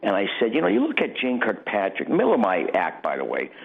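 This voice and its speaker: A middle-aged man talking casually as a radio interview guest, in a halting, rambling way with repeated starts. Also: fairly low pitch, wildly swooping pitch, gravelly, husky. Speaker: radio guest, political satirist and candidate. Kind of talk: casual interview talk, personal anecdotes. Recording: dull lo-fi radio audio.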